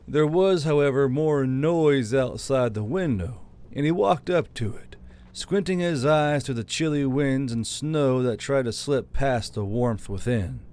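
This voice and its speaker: A man's voice: medium pitch, darkish tone, clear, resonant tone. A man reading a fantasy novel aloud as a scripted audiobook narrator. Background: background music.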